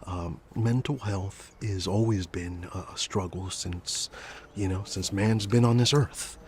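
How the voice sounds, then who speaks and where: A man's voice: sits low, unhurried, darkish tone, a little hiss or hum. A man giving a rambling, motivational ASMR talk in an earnest, encouraging way, repeating phrases for emphasis. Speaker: ASMR video host.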